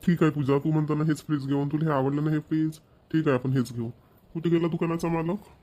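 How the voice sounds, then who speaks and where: A man's voice: fairly high pitch, fairly even intonation, fast, mellow, natural voice. A man voicing an impatient thrifty husband. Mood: agreeable. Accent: Marathi (Maharashtra). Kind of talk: cartoon voice acting.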